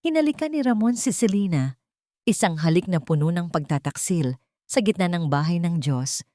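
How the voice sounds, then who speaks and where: A woman's voice: on the low side, expressive, moderate pace, mellow, dry recording, clean studio sound. A woman reading a scripted first-person story in a dramatic narrating style.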